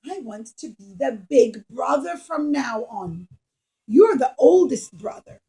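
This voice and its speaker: Studious big boy in a puppet show, played by a woman: earnest, firm, deliberate, on the high side. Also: expressive, warm tone, heavily acted. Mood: earnest, bargaining.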